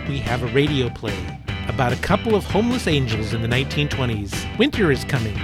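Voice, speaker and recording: A man presenting a radio program with a scripted introduction. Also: mid-range voice. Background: loud plucked guitar music.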